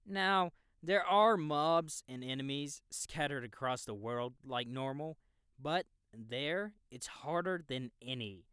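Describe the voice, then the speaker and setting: A man's voice: mid-range voice, takes it slowly, fairly bright tone, clean tone, dry recording, clean studio sound. A man playing an easygoing young experienced game player who explains the world to a newbie in a casual, knowing way, in an audiobook. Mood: knowing, explanatory. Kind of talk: audiobook character acting, explanatory dialogue.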